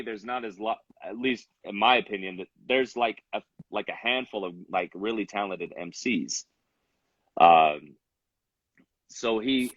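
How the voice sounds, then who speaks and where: A man's voice: fairly low pitch, a bit bright, no echo, dull audio. A young man in his twenties, a rapper from Salt Lake City, chatting casually as an interview guest with lots of fillers and restarts. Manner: rambling, many fillers and restarts.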